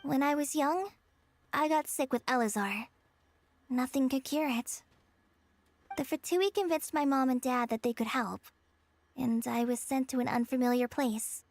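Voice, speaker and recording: Earnest young girl, very high voice. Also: unhurried, long pauses. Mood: earnest, sad. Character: gentle, earnest young girl with a painful past. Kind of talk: anime-style video game voice acting.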